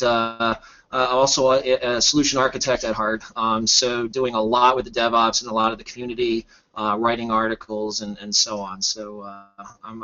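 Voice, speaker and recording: Man, 40s, from Tampa Bay, halting podcast guest, sharp voice, dull lo-fi audio. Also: mid-range voice. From Tampa Bay area. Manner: halting, many fillers and restarts. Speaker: guest, DevOps practice manager. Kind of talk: casual podcast chat. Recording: muffled lo-fi audio.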